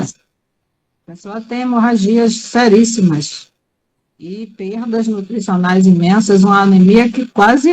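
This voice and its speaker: A woman's voice: medium pitch, mellow, muffled recording. Woman, middle-aged, from Bahia, teacher and writer, emphatic, heartfelt. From Bahia, Brazil. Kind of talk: casual talk-show chat.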